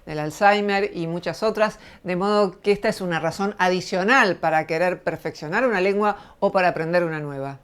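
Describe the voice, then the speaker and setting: Middle-aged argentine woman, smooth clear voice, talks it through slow and thoughtful like a lecture. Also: mid-range voice. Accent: Argentine Spanish. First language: Spanish. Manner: measured, thoughtful explaining. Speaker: channel host, lecturer. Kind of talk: reflective spoken essay to camera.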